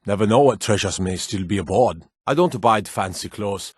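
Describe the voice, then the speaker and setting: An actor playing a gruff, proud Nord guard in a fantasy video game, blunt and curt with folksy rustic phrasing. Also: male voice, lowish voice, moderate pace, no room sound, studio quality. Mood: blunt, matter-of-fact.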